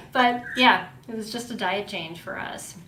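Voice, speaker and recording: A woman's voice: sing-song, a little slow, sharp tone. Laughing woman host in an echoey room. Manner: affirming, laughs often, many back-channel replies. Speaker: podcast host. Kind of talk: casual podcast conversation. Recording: roomy echo with faint rumble.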